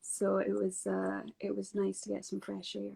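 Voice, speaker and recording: A woman's voice: medium pitch, completely flat delivery, normal speed, creaky, dark tone, breathy edge. A woman from Scotland with a Scottish accent, a guest talking casually from home, with many fillers and restarts. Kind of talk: casual video-call interview.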